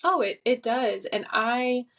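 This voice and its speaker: A woman's voice: fairly high pitch, expressive, slow, a bit bright, slight background noise. A woman in her twenties, a podcast guest, talking earnestly and reflectively in casual conversation. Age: 20s. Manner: reflective, earnest, many fillers.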